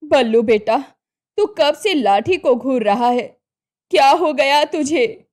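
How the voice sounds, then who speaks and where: Casting: woman voicing a fussy, caring old village mother, high and lively, for a cartoon. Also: slow pace. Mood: fussing. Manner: fussing, down-to-earth scolding. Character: older village mother, scolding but caring.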